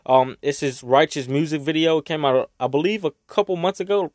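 A young man talking casually and emotionally to his viewers, rambling and swearing, his voice raspy from crying. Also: fairly high pitch, brisk, no room sound, studio quality. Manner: rambling, swearing, heartfelt, Southern US slang. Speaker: vlogger. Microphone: own microphone.

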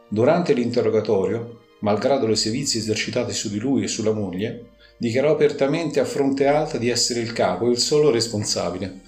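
A man reading a scripted historical narration as a podcast contributor. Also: mid-range voice. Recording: roomy echo, faint music underneath. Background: faint music.

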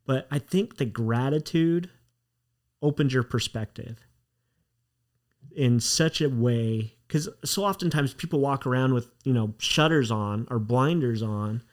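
A man hosting a podcast, talking in a relaxed, encouraging way. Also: medium pitch, unhurried. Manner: relaxed, encouraging, short affirmations. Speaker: podcast host. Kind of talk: casual interview chat.

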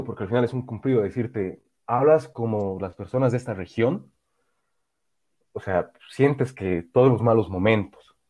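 A young man with a Bolivian Spanish accent, talking earnestly and reflectively in a casual podcast chat. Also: mid-range voice. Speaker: podcast guest. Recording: dull lo-fi sound.

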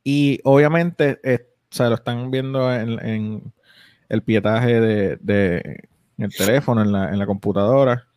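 A man's voice: medium pitch, unhurried, darkish tone, dry recording, studio quality. A young man hosting a casual podcast, rambling with lots of fillers and restarts. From United States. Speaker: podcast host.

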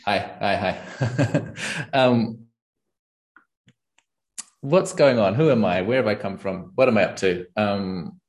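Thoughtful New man, low voice. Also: takes it slowly, pauses often. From New Zealand. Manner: thoughtful, searching for words, frequent ums and laughs. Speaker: podcast guest and channel host. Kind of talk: casual conversational interview.

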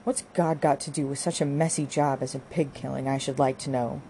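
A woman voicing a brisk, hard, scornful young farm wife who scolds and orders in an audiobook. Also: low-pitched, animated, mellow, a little hiss or hum. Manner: scolding, bossy, rustic turns of phrase. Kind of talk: audiobook character dialogue.

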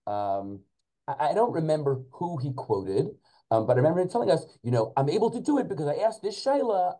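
A man in his forties reminiscing as an interview guest in a halting, searching manner, sprinkling in Hebrew and Yiddish religious terms. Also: medium pitch, mellow, rough recording. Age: 40s. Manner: halting, searching for words, backtracking. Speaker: podcast guest, school principal and rabbi.

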